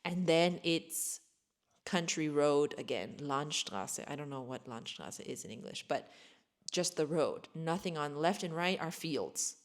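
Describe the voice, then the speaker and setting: A woman's voice: low-pitched, animated, measured pace. An American woman in her thirties chatting casually to camera from her sparsely furnished home, rambling and self-deprecating. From United States. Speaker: vlogger.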